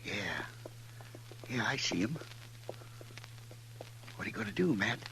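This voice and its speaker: A man's voice: lowish voice, lively intonation, measured pace, bright, forceful tone, exaggerated voice. An actor playing an anxious, plain-spoken American frontier man in a 1950s radio western, folksy and full of questions. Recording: noisy 1950s radio recording.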